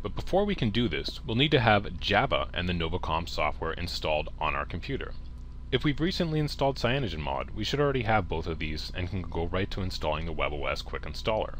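A man's voice: low-pitched, very animated, fast talker, fairly bright tone, a little hiss or hum. A man presenting a step-by-step tech tutorial in a plain-spoken, instructional way, addressing the viewer directly. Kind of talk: step-by-step software tutorial narration.

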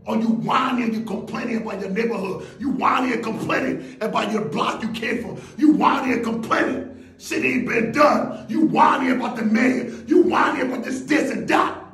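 A man giving a shouted motivational speech, fiery and preachy, hammering the listener with rhetorical questions. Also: very high voice, moderate pace, roomy sound, soft background music. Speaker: motivational speaker.

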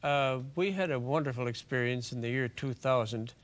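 An older man hosting a television program from a studio set, speaking in a measured, courteous way. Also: mid-range voice. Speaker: host. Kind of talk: scripted television presenting.

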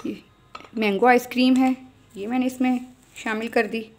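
A woman's voice: fairly high pitch, fairly even intonation, moderate pace. A woman with a Pakistani accent narrating a recipe step by step as a home cook, addressing viewers directly and instructively. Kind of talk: step-by-step cooking tutorial voice-over.

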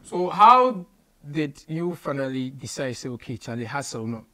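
A man's voice: mid-range voice, expressive, moderate pace, bright, forceful tone, poor audio. A man in his thirties with a Ghanaian accent, hosting a casual radio interview with short interjections and follow-up questions. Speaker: radio show host. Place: small radio studio, indoors.

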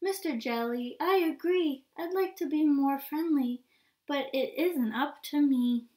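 A woman voicing a glum, gloomy male fish in a picture book, in a slow mopey sing-song with deep drawn-out blubs. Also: dark tone. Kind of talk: storybook reading.

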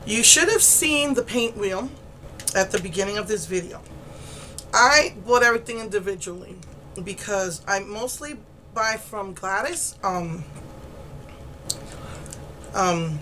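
A woman's voice: medium pitch, bright, forceful tone, a little hiss or hum. A middle-aged woman, still congested and getting over laryngitis, chatting her way through a makeup tutorial with many ums, close to the camera in a small room. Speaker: makeup vlogger. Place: indoors, small room against a pale blue wall. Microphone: camera close to the face.

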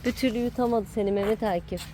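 A woman's voice: medium pitch, quick pace, dark tone. A woman giving casual, playful running commentary outdoors, encouraging and teasing a child at play. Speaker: adult filming and cheering on a child at play. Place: outdoor playground. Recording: noisy outdoor home-video recording.

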